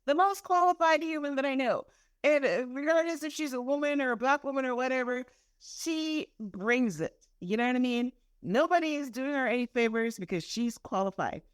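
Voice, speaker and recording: Woman from Guyana, podcast host; animated, chatty, quick to laugh, recorded dry and close. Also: high voice, clear, resonant tone, no echo. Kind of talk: podcast interview.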